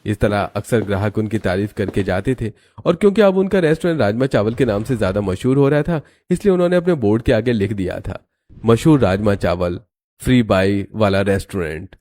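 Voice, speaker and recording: A man's voice: on the low side, very animated, fast talker, warm tone. A man narrating a scripted cartoon family story as its storyteller.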